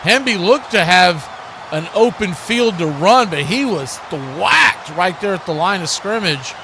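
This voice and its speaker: A man's voice: high-pitched, background noise. An American man giving live football play-by-play with casual, folksy, conversational asides on a livestream. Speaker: play-by-play sports commentator.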